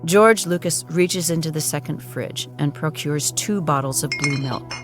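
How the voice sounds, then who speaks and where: Guy as a solemn deadpan narrator, grand storytelling, music clearly playing behind him. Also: a little high, normal speed, natural voice. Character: solemn administrator narrating absurd events. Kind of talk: scripted-sounding storytelling narration.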